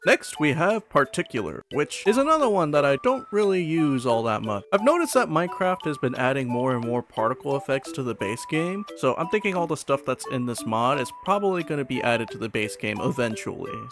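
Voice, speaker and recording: A man talks through a gaming video as its host. His pitch moves around a lot, and his delivery is informal and conversational. Music plays clearly behind his voice. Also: very animated, a little fast, hardly any pauses. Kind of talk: casual gaming video commentary.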